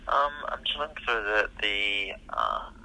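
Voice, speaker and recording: A man's voice: fairly low pitch, wildly swooping pitch, unhurried, gravelly, pushed and bright, slight background noise. A man working as a case manager, speaking hesitantly and apologetically over a tinny telephone line. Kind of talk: phone conversation.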